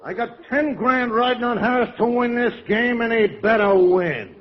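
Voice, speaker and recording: High-pitched crooked radio gangster. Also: male voice, moderate pace. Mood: cheerfully menacing. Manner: brash, mangles words, slangy tough talk. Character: crooked gangster scorekeeper, wisecracking, cheerfully menacing man. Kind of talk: 1940s-50s radio comedy acting. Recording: dull vintage radio, audience, heavy noise.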